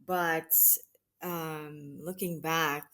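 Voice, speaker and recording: A woman from the Philippines answering interview questions in an unhurried way, pausing often with fillers and restarts. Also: sits low, fairly even intonation, sharp tone. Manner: hesitant, many restarts and fillers. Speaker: guest, mission associate regional director. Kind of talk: conversational interview.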